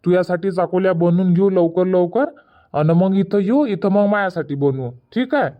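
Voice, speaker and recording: Cartoon village boy, played by a man: high, whiny, stubborn, Varhadi Marathi accent, muffled lo-fi recording. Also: moderate pace, lightly acted. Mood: bargaining. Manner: whiny, argumentative. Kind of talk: cartoon comedy dialogue. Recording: dull, muffled lo-fi audio.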